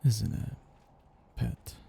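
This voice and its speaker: A man playing an ancient vampire master in an intimate ASMR roleplay, possessive and gently domineering, with a languid, teasing, velvety delivery. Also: very slow, slight background noise. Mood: languid, musing. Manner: languid, teasing, condescending endearments, soft chuckles.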